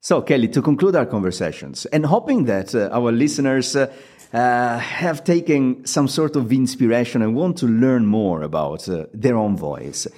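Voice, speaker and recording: A man's voice: medium pitch, lively intonation, normal speed, a little mellow. A man hosting an interview show who speaks reflectively, with hesitant uhs. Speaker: podcast host. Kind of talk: interview conversation.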